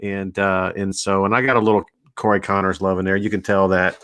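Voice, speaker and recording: A man hosting a casual interview, talking haltingly with lots of fillers and restarts. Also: sits low, flat delivery, a bit bright, clear, resonant tone, no room sound. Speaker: show host.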